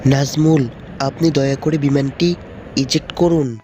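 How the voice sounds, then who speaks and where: A man's voice: medium pitch, steady tone, measured pace, over loud music, close to an everyday voice. A man with a Bangladeshi accent voicing a composed, formal, reassuring air traffic controller in a cartoon. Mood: formal, controlled. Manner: formal, polite instructions.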